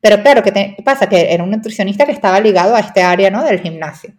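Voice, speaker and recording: A woman's voice: mid-range voice, fast. Chatty Venezuelan woman guest. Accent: Venezuelan Spanish. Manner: chatty, frequent tag questions and fillers. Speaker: podcast guest, nutritionist. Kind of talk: casual interview chat.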